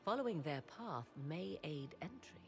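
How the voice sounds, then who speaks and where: A woman playing a proud, learned witch in a fantasy game, with formal, archaic and measured speech. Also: fairly low pitch, lively intonation. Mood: grave, intrigued. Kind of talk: dramatic fantasy game acting. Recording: music behind the voice.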